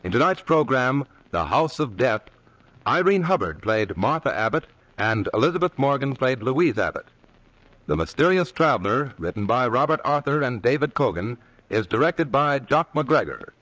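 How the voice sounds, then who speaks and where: Animated vintage male radio announcer, dry sound. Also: mid-range voice, moderate pace, no echo, rough recording. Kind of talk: 1940s radio announcing, scripted credits.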